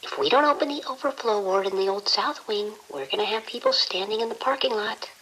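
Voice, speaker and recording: A woman's voice: medium pitch, brisk, pushed and bright, lightly acted, rough recording. Woman playing an urgent nurse over faint hiss. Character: overwhelmed, urgent hospital nurse reporting to her director. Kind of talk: scripted audio drama dialogue.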